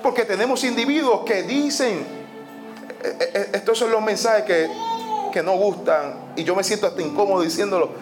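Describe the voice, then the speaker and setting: A high-pitched man preaching, pressing his points by repeating phrases. He slips English "so" into his sentences. Soft music plays faintly behind him in the church. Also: normal speed. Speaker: pastor. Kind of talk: sermon. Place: church sanctuary.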